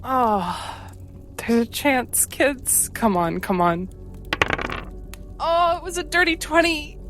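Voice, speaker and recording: A woman's voice: high-pitched, lively intonation, slow pace, music in the background. A woman talking casually as a player in a tabletop game.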